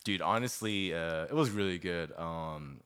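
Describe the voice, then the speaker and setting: Deep-voiced young man chatting casually. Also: unhurried, a bit bright, clean tone. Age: 20s. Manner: informal, rambling, slangy, dude-heavy. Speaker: podcast guest. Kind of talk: casual chat.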